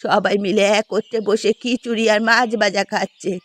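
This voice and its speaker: A woman's voice: medium pitch, fairly even intonation, moderate pace, no echo, close to an everyday voice. A woman voicing an affectionate but aggrieved older village mother in a cartoon fairy tale. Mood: warm, explaining. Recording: music under the voice.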